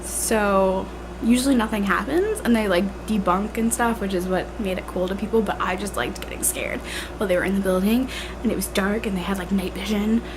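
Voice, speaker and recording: A woman's voice: mid-range voice, a little fast, background noise. A young woman with a congested, tired voice chats casually at home, full of fillers like "like" and "um". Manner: chatty, filler-heavy, self-deprecating. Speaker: vlogger. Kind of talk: casual rambling vlog. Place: at home indoors. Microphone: handheld camera at arm's length.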